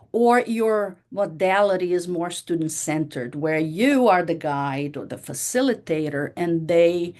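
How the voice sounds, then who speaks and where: A woman whose first language is Portuguese, giving a conversational workshop talk to teachers, with frequent fillers and restarts. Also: medium pitch. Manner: conversational, many "you know" fillers and restarts. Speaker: workshop presenter.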